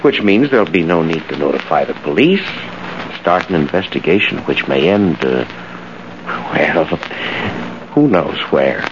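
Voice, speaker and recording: A man's voice: extremely low pitch, expressive, unhurried, full character voice. Smug, swooping male blackmailer. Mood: sly, menacing. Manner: suave, mock-friendly, insinuating. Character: smug, silky male blackmailer posing as business partner. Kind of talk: 1940s radio drama acting. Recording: muffled, hissy old radio recording.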